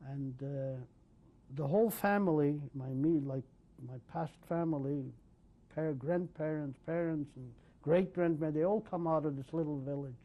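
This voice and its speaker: A man in his sixties, from Poland, recalling his early life in an oral history interview, speaking haltingly with many pauses and restarts. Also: a little high. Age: 60s. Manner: hesitant, many ums and restarts. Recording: dull lo-fi 1990s tape.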